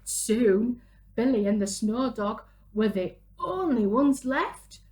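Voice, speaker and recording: A woman, reading a picture book aloud to young children in an animated, dramatic way. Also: fairly high pitch. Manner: animated, dramatic gasps and drawn-out exclamations. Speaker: children's storyteller, early years presenter. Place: small room at home, indoors.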